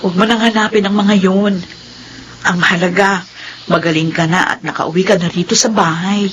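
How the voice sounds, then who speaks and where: A woman's voice: mid-range voice, fairly even intonation, moderate pace, a little hiss or hum, lightly acted. A woman playing a caring, long-suffering, devout middle-aged mother in a radio drama, with tender emotion.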